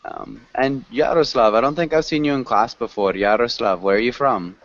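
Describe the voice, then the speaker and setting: A man teaching a conversational online group class, encouraging and gently correcting learners. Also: mid-range voice, moderate pace, a little hiss or hum. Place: group video call. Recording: muffled, lo-fi online call audio.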